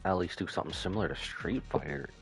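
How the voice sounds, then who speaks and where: Very deep-voiced man gaming. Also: quick pace, creaky, faint music behind. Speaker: gaming streamer. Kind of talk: casual game playthrough commentary.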